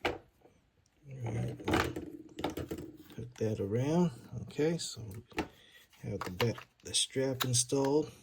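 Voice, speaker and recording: A man's voice: mid-range voice, expressive, slow, gravelly, long pauses, heavy background noise. A man giving a casual, hands-on gear demonstration, informal and a little hesitant as he thinks aloud. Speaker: gear reviewer. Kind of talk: casual unboxing and setup demonstration.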